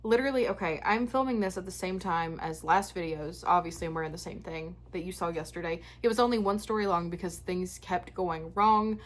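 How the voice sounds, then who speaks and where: A young woman who hosts a spooky story channel, chatting frankly and then reading a listener's tale aloud, her voice starting to go hoarse. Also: lowish voice, brisk, hardly any pauses. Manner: chatty, frank and a bit frazzled when talking, steady when reading. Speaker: horror story channel host. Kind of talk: casual chat and reading listener stories aloud.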